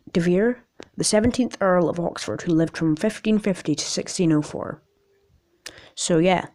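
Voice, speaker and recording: A woman with a raspy voice in an amateur, casual video, rambling and reading aloud in a jokey, self-deprecating way with stumbles. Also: lowish voice, darkish tone. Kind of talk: casual rambling vlog with articles read aloud.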